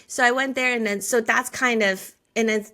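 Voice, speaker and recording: A woman's voice: a little high, fairly even intonation, moderate pace, sharp tone. A woman in her forties from China with a Chinese accent, a guest telling her life story in an interview, earnest and full of restarts. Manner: frequent restarts and filler words, earnest storytelling. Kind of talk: conversational interview.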